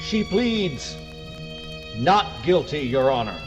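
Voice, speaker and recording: Male actor as courteous small-town defense lawyer; formal radio drama delivery, lively intonation, echoing hall. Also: fairly high pitch, slow, muffled recording, over music. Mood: firm. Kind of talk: old-time radio drama acting.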